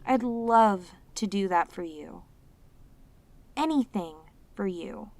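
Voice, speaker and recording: A woman playing a sweet, clingy demoness in a romantic audio roleplay, speaking tenderly and haltingly with intense devotion. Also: medium pitch, lively intonation, a little mellow. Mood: tender, pleading. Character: sweet, devoted, clingy yandere demoness, long sealed away.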